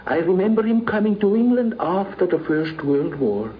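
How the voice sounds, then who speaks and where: A man speaking formally and deliberately as a documentary contributor, on a muffled, noisy 1950s radio recording. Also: sits high, expressive. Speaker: interviewed friend giving recollections. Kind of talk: measured spoken reminiscence and letter reading.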